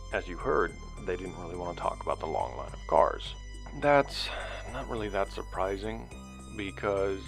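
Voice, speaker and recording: An actor playing an earnest investigator logging findings in a sci-fi audio drama, speaking in a halting, deliberate, conversational way. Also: male voice, sits low, vocal fry, exaggerated voice. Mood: sombre, reflective. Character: earnest male investigator recording a log of findings. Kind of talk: sci-fi audio drama monologue and field interviews.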